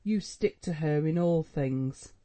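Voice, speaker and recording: A man's voice: a little high, slow pace, mellow. A man reading a novel aloud, voicing a proud, anxious middle-aged mother who scolds her son and pleads with him. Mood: pleading. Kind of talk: audiobook dialogue reading.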